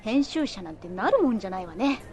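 A woman playing a lively, encouraging editor friend in her thirties in a Japanese radio drama. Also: mid-range voice, quick pace, faint music behind, lightly acted. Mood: cheerful.

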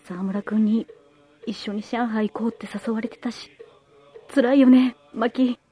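Woman acting husky, consoling best friend. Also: on the high side, fairly even intonation, measured pace, soft background music. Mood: sympathetic, saddened. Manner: gentle, consoling. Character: heroine's sympathetic, comforting female friend. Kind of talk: radio drama dialogue.

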